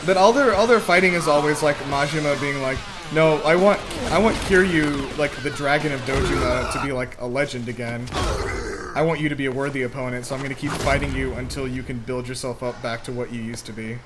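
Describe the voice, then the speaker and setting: A man co-hosting a casual movie reaction, explaining game lore in an animated way with plenty of swearing. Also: medium pitch, a little fast, background noise. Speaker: movie reaction show co-host.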